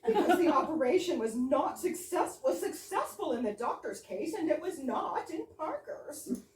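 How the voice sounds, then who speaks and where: A woman's voice: high-pitched, big pitch swings, normal speed, darkish tone. A woman playing an earnest, easily ruffled English wife with absurd logic in a staged reading of a comedy play. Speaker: amateur actress in a readers theater. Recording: roomy, distant, noisy live stage recording.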